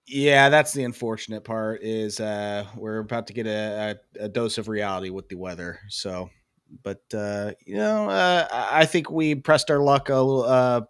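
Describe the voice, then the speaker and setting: A man's voice: fairly low pitch, normal speed, clean tone, no echo, clean studio sound. A young man with a head cold, rambling casually as co-host of a sports podcast, full of fillers and self-deprecating asides.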